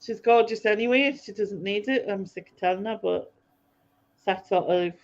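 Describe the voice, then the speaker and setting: A woman's voice: mid-range voice, normal speed, dull audio. A woman with a North East English accent, hosting a casual chat podcast and rambling in a chatty way with many fillers. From North East England. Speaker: podcast host.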